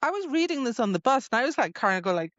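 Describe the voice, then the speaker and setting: A woman's voice: on the high side, big pitch swings, rapid-fire, a bit bright, no room sound. Female co-host for a book podcast, playful and giggly in casual chat, very dry, slightly muffled recording. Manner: giggly, playful reactions and mock quotes. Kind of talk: casual chat about a fantasy romance novel.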